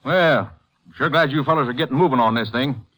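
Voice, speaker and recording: A man's voice: mid-range voice, fairly quick, pushed and bright, slight background noise, natural voice, rough recording. An American man playing an indignant, folksy rural Texan protesting his innocence in a 1950s radio western. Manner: folksy, defensive. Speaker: supporting actor in a radio drama. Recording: vintage 1950s radio recording.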